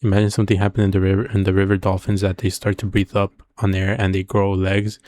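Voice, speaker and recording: Deep-voiced, monotone man thinking aloud. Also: fast. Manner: informal, musing, thinking aloud with questions. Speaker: reaction video host. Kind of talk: casual reaction commentary and speculation.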